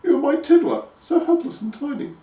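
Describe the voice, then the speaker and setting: A man's voice: very high-pitched, very animated, fairly quick, mellow, far from the mic, pitched above the performer's own voice. A man voicing a stout, fond peasant mother who stammers when flustered. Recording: echoey room, voice from afar.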